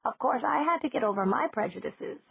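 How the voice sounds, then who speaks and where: Woman doing a gentle batty old witch. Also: on the high side, lively intonation, gravelly, breathy edge, no echo, voice pushed up, exaggerated voice. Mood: gentle, rambling. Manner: slow, gentle and rambling. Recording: dry, tinny phone-like sound.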